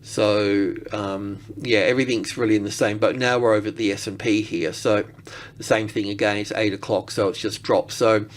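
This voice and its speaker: A man giving unscripted market analysis as he works through charts, rambling and halting as he thinks aloud. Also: on the low side, steady tone.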